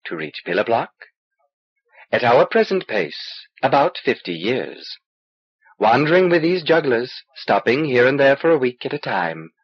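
A small, knowing alien sorcerer played by a male narrator speaks in dry, cryptic, measured lines. The voice is husky and moves freely in pitch. It comes from an audiobook on a dull old cassette. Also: sing-song, no echo. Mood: matter-of-fact. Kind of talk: audiobook character dialogue.

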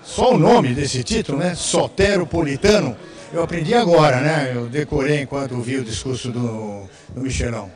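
A man's voice: mid-range voice, animated, normal speed, darkish tone, faint music behind. An older man giving a spoken tribute in a TV interview, indoors into a handheld microphone. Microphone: handheld reporter microphone close to the mouth.

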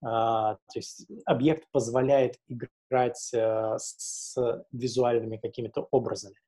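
A man's voice: medium pitch, little pitch movement, measured pace, mellow. A man speaking at a webinar, giving advice in an informal, instructive way. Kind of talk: informal explanatory talk.

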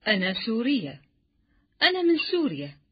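A woman's voice: on the high side, huge pitch swings, a little fast, breathy edge. A woman reading the other part of a scripted textbook dialogue. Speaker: textbook audio speaker. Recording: dull, muffled lo-fi audio.

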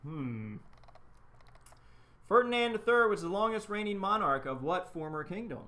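A man's voice: high-pitched, very animated, moderate pace, pushed and bright. A middle-aged man co-hosting a casual live stream, telling corny jokes and reading trivia questions aloud, recorded on a desk webcam indoors. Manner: corny joke delivery, reads trivia aloud. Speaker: co-host. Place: small shop room with framed wall display, indoors.

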